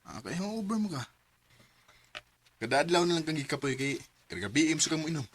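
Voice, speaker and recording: An actor playing a heartbroken young man in a radio drama, talking emotionally and turning from shouts to mutters as he drinks to forget. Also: fairly high pitch, sing-song, a little fast, a little hiss or hum. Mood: annoyed.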